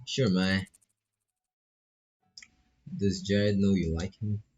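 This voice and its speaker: A man's voice: deep voice, steady tone, extremely slow, a little mellow, husky, lo-fi sound. A man doing laid-back, casual live commentary on a visual novel, reading text aloud and swearing freely as he reacts.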